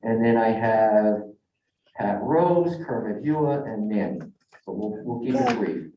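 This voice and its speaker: A man presenting a project to a zoning board in a halting, informal way with many fillers. He is tired and losing his voice. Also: lowish voice. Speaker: developer's representative presenting a project. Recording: dull, echoey council chamber audio, mic at a distance.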